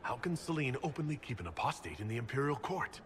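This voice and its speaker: A man's voice: mid-range voice, very animated, fast, slight background noise, natural voice. A man playing a composed commander in a video game, direct, questioning and authoritative. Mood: calm, probing. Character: composed, probing male commander of an inquisition. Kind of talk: video game dialogue acting.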